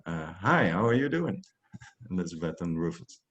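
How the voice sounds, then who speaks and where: A man hosting an interview, speaking gently and hesitantly with many fillers; Dutch is his first language. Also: sits low, big pitch swings, moderate pace, muffled recording. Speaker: interviewer, host. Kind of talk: interview host.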